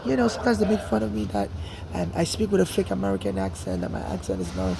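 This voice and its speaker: Gravelly high-voiced Nigerian man. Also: huge pitch swings, quick pace, a crowd in the background. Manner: rambling, frequent restarts and "you know". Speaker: interviewee. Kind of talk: street interview, personal account.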